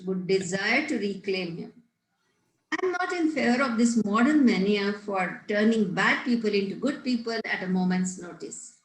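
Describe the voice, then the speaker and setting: A woman's voice: a little high, natural voice. A woman playing a prim, pompous older governess in a table read of a Victorian comedy, formal, didactic and scolding. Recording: roomy, echoey home recording.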